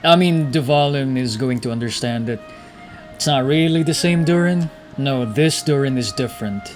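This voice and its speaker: A man's voice: mid-range voice, normal speed. Casual slangy man reacting to a game. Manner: slangy, laid-back talk. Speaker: video game streamer. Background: video game music.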